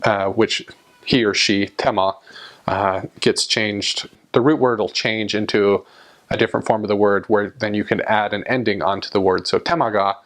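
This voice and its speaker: A man's voice: fairly low pitch, steady tone, normal speed, fairly bright tone. A young man casually talking to camera about his time abroad, rambling with lots of fillers and restarts. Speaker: vlogger recounting time abroad.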